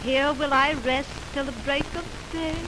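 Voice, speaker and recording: A woman's voice: high-pitched, steady tone, lightly acted. An actress playing a weary, heartbroken young Athenian woman lost in the woods, in plaintive, theatrical 1920s radio Shakespeare style. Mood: weary, sorrowful. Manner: theatrical, plaintive, drawn-out sighing delivery. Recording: noisy, hissy 1929 radio recording.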